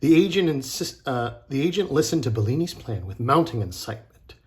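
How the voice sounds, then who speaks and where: A man reading a storybook aloud for children as a teacher, dramatic and deliberate. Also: mid-range voice, sing-song, darkish tone.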